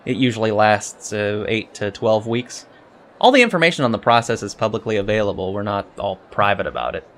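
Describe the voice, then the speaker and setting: A man's voice: on the low side, lively intonation, brisk, a bit bright. A man playing a polite, precocious teenage alien cadet in a sci-fi audio drama, earnest and slightly hesitant. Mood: earnest, explaining. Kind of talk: scripted audio drama dialogue.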